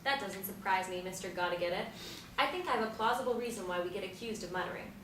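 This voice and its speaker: A woman acting in a stage play as an aging Beatnik, thoughtful and dryly teasing, with deliberate delivery. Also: medium pitch, a bit bright, close to an everyday voice. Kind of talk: stage play scene reading. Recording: echoey room from far off, faint noise.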